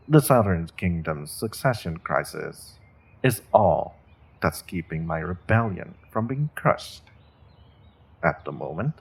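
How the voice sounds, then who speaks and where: Male actor as menacing sorcerer warlord, low husky voice, unhurried, mock-polite, gloating, fantasy audio drama. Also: exaggerated voice. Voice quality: gravelly, husky. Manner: slow, deliberate, mock-polite, savoring threats with drawn-out pauses. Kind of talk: fantasy audio roleplay, speaking directly to a captive listener.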